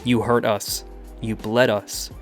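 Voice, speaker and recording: A man's voice: lowish voice, level tone, slow pace, faint music behind. A man voicing an intense, protective young superhero. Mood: furious. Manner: intense, shouting at times.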